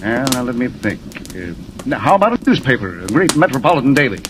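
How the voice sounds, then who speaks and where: A man's voice: mid-range voice, big pitch swings, quick pace, close to an everyday voice. An actor playing a kindly, thoughtful middle-aged professor and father, musing aloud in a 1940s radio drama. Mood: helpful, musing. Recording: noisy old 1940s radio recording.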